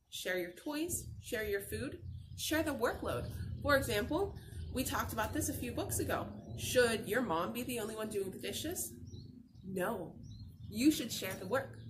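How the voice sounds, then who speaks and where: A young adult woman reading a picture book aloud to children as a teacher, expressively, asking questions and answering them. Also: a little high. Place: outdoor patio by a garden. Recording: noisy outdoor camera recording.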